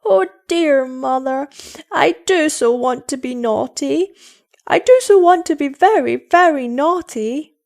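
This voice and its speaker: Storybook child, acted by a woman: small girl, high and plaintive, begging with drawn-out cries. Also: sing-song, full character voice. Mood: tearful longing. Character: two little girls, tearful, pleading, longing to be naughty.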